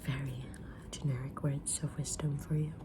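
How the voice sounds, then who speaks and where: A woman whispering softly in an ASMR roleplay as a kind, mysterious witch reading tarot, with a gentle, soothing delivery. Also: very deep voice, flat delivery, over music. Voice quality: breathy unvoiced whisper. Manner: gentle, soothing, unhurried fortune-teller delivery. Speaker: ASMR creator playing a tarot reader.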